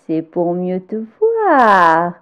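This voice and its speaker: A woman's voice: on the low side, huge pitch swings, very slow, fairly bright tone, smooth, pitched below the performer's own voice, exaggerated voice. A woman voicing a wicked, greedy male wolf in a storybook tale, drawn out and sly. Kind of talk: storybook character voice.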